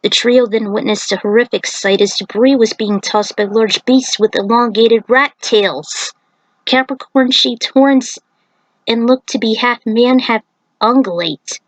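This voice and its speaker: A woman narrates a written story in a mid-pitched voice. The sound is dry, with very little room around it, and she occasionally trips over a word as she reads. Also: unhurried, dry recording, low-quality recording. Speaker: narrator reading own fan fiction.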